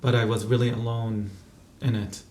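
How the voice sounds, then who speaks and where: A man's voice: medium pitch, flat delivery, moderate pace, darkish tone, echoey room. A man from Qatar in his thirties, answering interview questions thoughtfully, with fillers and restarts. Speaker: interview guest, physician and activist.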